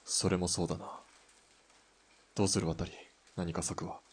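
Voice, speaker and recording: Very low-voiced, cool sniper played by a man. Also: flat delivery, normal speed, slightly rough. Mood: cool, absorbed. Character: terse Japanese sniper, cool male gun connoisseur. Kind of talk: Japanese audio drama acting.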